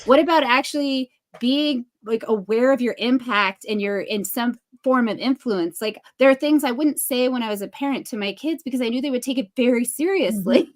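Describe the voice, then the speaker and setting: A woman's voice: on the high side, normal speed, hardly any pauses. Thoughtful, reflective woman podcast co-host. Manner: thoughtful, reflective, with frequent restarts. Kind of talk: casual conversation.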